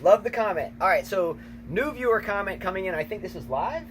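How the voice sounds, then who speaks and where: A man co-hosting a casual tennis chat outdoors, emphatic and repetitive, talking excitedly. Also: sits high, brisk. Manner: emphatic, repeats himself, excitable. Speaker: tennis channel co-host, coach. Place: outdoors, windy. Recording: noisy outdoor recording, wind.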